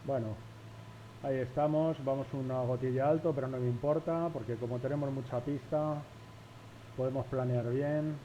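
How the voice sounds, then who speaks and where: A man's voice: medium pitch, steady tone, moderate pace, warm tone, muffled recording, slight background noise. A man from Spain with a Spain Spanish accent gives casual, informal running commentary as a pilot flying, muttering to viewers as he works. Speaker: flight simulator pilot narrating.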